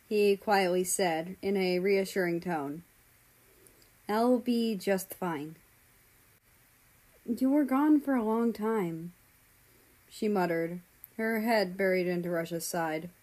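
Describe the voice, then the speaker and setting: A woman's voice: mid-range voice, darkish tone. Woman narrating a story. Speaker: audiobook narrator.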